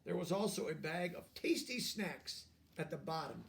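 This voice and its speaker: A man reading a storybook aloud to young children, expressive, with simple explanatory asides. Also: on the high side, moderate pace, vocal fry, slight background noise. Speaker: storybook reader.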